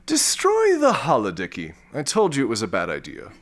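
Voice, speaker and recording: A man's voice: medium pitch, wildly swooping pitch, normal speed, heavy background noise. A man playing a bumbling, lovestruck, pompous space lord with a theatrical, stammering manner in a comic sci-fi audio drama. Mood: smug, smitten.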